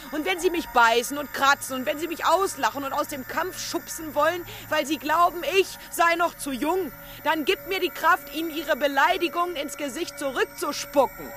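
High, bright young defiant fighter. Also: female voice, moderate pace. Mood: fervent, defiant. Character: young defiant fighter praying for strength, proud. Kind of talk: radio drama monologue. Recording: studio voice over faint music.

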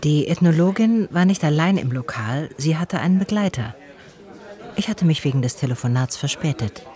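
An actress playing an observant, dryly sardonic woman who narrates her investigation in a radio drama in a measured, literary way. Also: low-pitched, animated, dark tone. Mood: wry, matter-of-fact.

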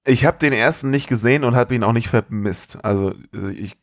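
Male podcast co-host, ordinary mid-range voice, casual, excitable talk peppered with slang and curses. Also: mid-range voice, fairly quick. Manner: slangy, sweary, enthusiastic. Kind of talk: casual chat.

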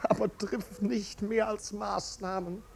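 A man's voice: sits high, little pitch movement, slow, creaky, a little mellow, husky, heavily acted. An actor playing a plain-spoken, dryly amused nobleman, patronising and unworried, in a 1950s radio play. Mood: wry, reassuring. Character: wry, unworried marquis, master of the house.

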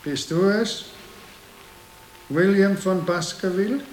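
An actor playing a stern, solemn and menacing very old monk in a radio drama, with drawn-out delivery. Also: male voice, high voice. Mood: ominous, expectant. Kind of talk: German radio drama acting. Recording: echoing room, faint background noise.

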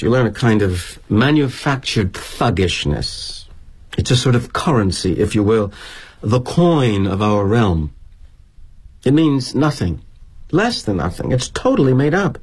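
An actor playing a weary, sardonic middle-aged corporate executive, speaking in a wry, confiding monologue. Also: male voice, mid-range voice, big pitch swings, measured pace, darkish tone, husky, heavily acted. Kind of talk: theatrical monologue.

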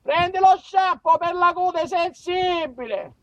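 A man playing a shouting, high-pitched bossy southern Italian barber. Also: slow, no echo, far from the mic. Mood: commanding. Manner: shouting commands with feigned nonchalance. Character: bossy small-town Italian barber barking orders.

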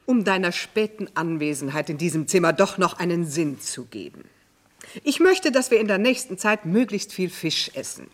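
An actress playing a cool, mocking older mistress of a genteel household in a radio play, speaking in a dry, prim, formal manner. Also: female voice, on the low side, expressive, moderate pace, close to an everyday voice. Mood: dryly dismissive. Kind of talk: radio drama acting.

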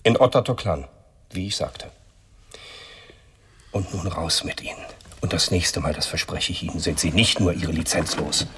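An actor playing a gruff, smugly hostile male police inspector in a radio crime drama, husky and condescending. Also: low voice, normal speed, long pauses, fairly bright tone, a little hiss or hum. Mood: grudging, threatening. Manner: condescending, threatening.

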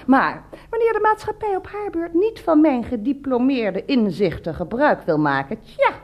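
Animated, indignant housecleaner. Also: female voice, high voice, normal speed, lo-fi sound. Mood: chatty, earnest. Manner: emphatic, mock-earnest, exclaiming. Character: indignant chatty housecleaner, an unemployed engineering graduate. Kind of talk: satirical Dutch radio play acting.

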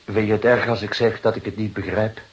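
A seasoned police detective played by a male actor in a radio play, low in pitch. He questions thoughtfully and deliberately, sometimes repeating himself as he searches for words. The recording is dull and muffled. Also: fairly even intonation, lightly acted. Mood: pensive, businesslike. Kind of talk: Dutch radio drama acting.

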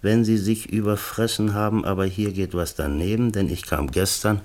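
An actor playing a distracted, fussy middle-aged screenwriter in a radio drama, musing haltingly and repeating himself. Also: male voice, on the low side, steady tone, darkish tone, natural voice. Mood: searching, rambling. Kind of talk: naturalistic radio drama acting.

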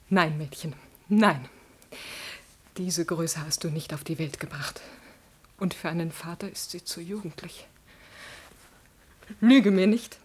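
A woman's voice: lowish voice, breathy edge. An actress playing a proud, jealous noblewoman in a classical radio drama, speaking in a theatrical, imperious manner. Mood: suspicious, indignant. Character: proud, jealous noblewoman, a passionate prince's mistress.

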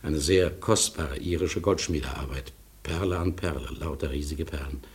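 An actor playing a courteous, haunted returned emigrant in a German radio drama, speaking deliberately and theatrically. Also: male voice, rumbling low, slightly rough, full character voice. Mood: wistful.

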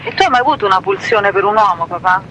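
A woman's voice: medium pitch, expressive, fast. A woman informally interviewing someone with short, insistent questions. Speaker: daughter interviewing her father. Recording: loud traffic-like ambient noise.

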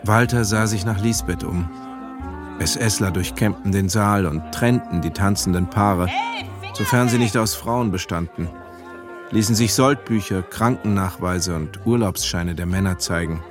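Radio drama narrator, male, lowish register, literary storytelling over clear music. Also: measured pace.

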